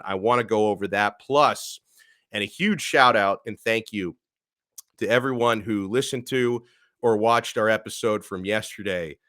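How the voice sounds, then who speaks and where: A man's voice: on the low side, a bit bright, no echo. Casual sports podcast man, dry close sound. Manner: conversational, punctuates lists with asides. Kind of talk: casual sports talk, reading through figures.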